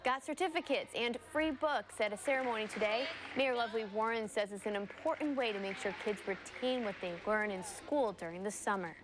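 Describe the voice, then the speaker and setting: A woman's voice: fairly high pitch, fairly quick, hardly any pauses, background noise. A woman with an American accent anchoring TV news, moving between reading and casual banter.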